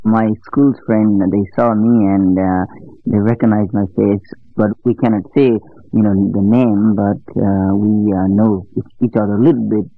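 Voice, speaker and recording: A man from Nepal with a Nepali accent, recalling his life in halting English full of fillers for a documentary interview. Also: lowish voice, level tone, no echo. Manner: halting non-native English with many fillers. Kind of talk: interview recollection. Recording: tinny lo-fi sound with faint music.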